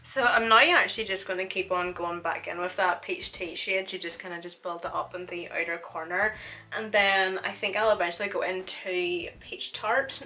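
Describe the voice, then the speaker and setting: A woman's voice: medium pitch, pushed and bright. A young woman with a Northern Irish accent, chatting casually through a makeup tutorial and laughing often. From Northern Ireland. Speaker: beauty vlogger.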